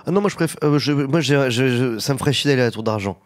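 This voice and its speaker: A man's voice: mid-range voice, fast talker. A man with a mid-range voice talks as a guest on a chat show. He stammers and restarts his sentences often, then breaks into laughter. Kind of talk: casual chat.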